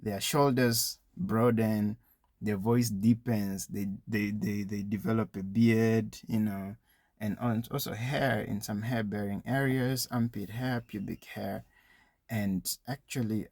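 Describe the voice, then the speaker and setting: A man's voice: fairly low pitch, fairly even intonation, a little slow, creaky, darkish tone, no room sound. A man giving an informal, educational talk with advice for young people, explaining things conversationally with frequent small asides.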